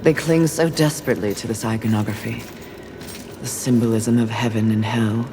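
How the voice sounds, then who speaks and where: A woman's voice: rumbling low, steady tone, normal speed, noisy background. A woman playing a stern, wrathful female horseman of the apocalypse, curt and scornful, in a video game. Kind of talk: video game cutscene acting.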